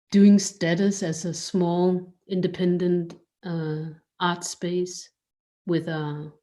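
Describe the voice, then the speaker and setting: Slow-talking woman w a soft-edged mellow low voice, feeling for words in an interview. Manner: hesitant, searching for words. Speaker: curator and art space director, guest. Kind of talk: reflective interview answers.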